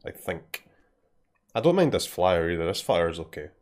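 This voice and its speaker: A man with a Scottish accent streaming a card game, thinking aloud in casual commentary, often dropping to a mutter and clearing his throat. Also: sits low, sing-song, moderate pace, darkish tone. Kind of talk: casual live commentary while playing.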